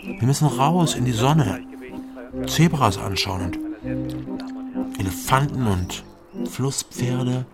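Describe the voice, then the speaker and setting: An actor playing a troubled, gentle loner in a radio crime drama, speaking in an understated, slightly hesitant way. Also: male voice, medium pitch, expressive, takes it slowly, long pauses, loud music behind the voice. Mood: gentle, reassuring. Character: awkward, troubled loner man, gentle with a child. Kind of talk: German radio crime drama acting and narration.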